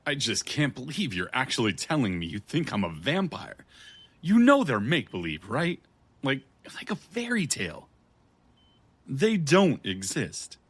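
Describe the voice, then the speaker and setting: An actor playing a skeptical, bewildered man with a husky voice and halting delivery in an audio drama. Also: medium pitch, wildly swooping pitch, heavily acted. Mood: incredulous, defensive. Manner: halting, incredulous, plain-spoken. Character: bewildered, skeptical everyman refusing to believe he is undead.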